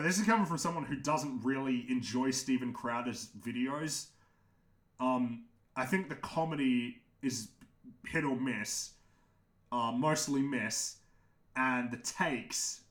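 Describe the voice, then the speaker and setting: A young man with a naturally deep voice hosts a casual livestream, giving frank, opinionated takes with frequent restarts, occasional swearing and laughter. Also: fairly bright tone, roomy sound. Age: young adult. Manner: laughs often. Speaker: livestream host answering chat.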